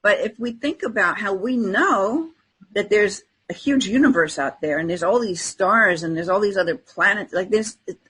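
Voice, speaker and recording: A woman with a low-clarity, distinctly husky voice speaks as a podcast co-host. Her delivery is casual and earnest, like an unscripted chat, and she often checks that her listener agrees. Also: normal speed, a bit bright.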